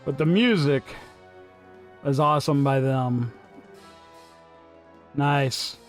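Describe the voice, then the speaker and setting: A man hosting a reaction video, giving casual, excited, gushing commentary. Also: medium pitch, slow pace, soft background music.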